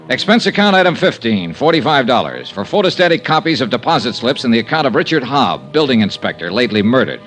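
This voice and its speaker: An actor playing a tough, wry insurance investigator in 1950s radio detective style, clipped and sardonic. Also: male voice, mid-range voice, fairly bright tone. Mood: wry narration. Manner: clipped, hard-boiled, sardonic. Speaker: lead actor and narrator.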